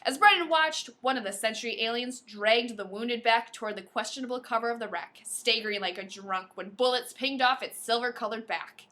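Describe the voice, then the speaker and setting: A woman's voice: a little high, normal speed, hardly any pauses, pushed and bright. A woman co-hosting a podcast, reading a novel aloud with playful, exclamatory banter and theatrical delivery of the quoted dialogue. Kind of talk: book read-aloud with casual banter.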